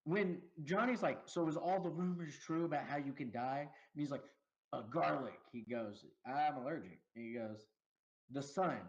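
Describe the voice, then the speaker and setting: A man co-hosting a casual movie review podcast, animated and laughing often as he acts out lines from the film. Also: a little high, normal speed, highs cut off. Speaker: podcast co-host.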